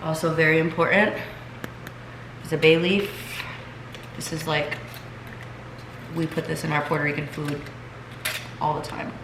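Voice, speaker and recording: Young female vlogger, low pitch, stuffy congested voice, chatty and loose, in an echoey room with background noise. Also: steady tone, measured pace, pauses often. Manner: chatty, filler-heavy, talks straight to viewers. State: congested, recovering from illness. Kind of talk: casual chatty vlog. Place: home kitchen, then seated at home.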